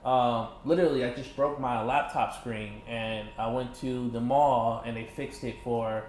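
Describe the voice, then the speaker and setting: A man in his thirties from Michigan, chatting casually as an interview guest, animated, laughing often and filling with "you know". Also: mid-range voice, a little slow, slight background noise. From Michigan, USA. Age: 30s. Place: indoors in a condo. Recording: echoey room with faint ambience.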